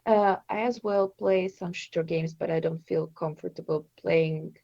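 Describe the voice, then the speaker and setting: A woman's voice: lowish voice, steady tone, unhurried, warm tone, no echo, lo-fi sound. A woman in her twenties from Estonia, a native Russian speaker, answering interview questions in a hesitant way with many fillers. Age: 20s. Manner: hesitant, with frequent fillers and restarts. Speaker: interview guest, streamer.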